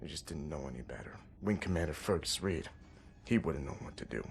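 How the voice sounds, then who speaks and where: An actor playing a guilt-ridden young American resistance soldier in a video game, earnest and bitter, with folksy mild oaths and halting delivery. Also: male voice, a bass voice, animated, creaky, a little hiss or hum, full character voice. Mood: anguished, guilty. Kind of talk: dramatic video game acting.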